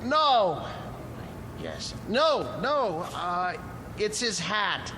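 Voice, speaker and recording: An actor playing a mild, fussing middle-aged husband in a radio drama, hesitant, stammering and pleading. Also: male voice, high-pitched, sing-song, drawn-out words, noisy background, full character voice. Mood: flustered pleading. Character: mild, fussing, devoted caretaker husband of wax murderer figures. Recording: music under the dialogue.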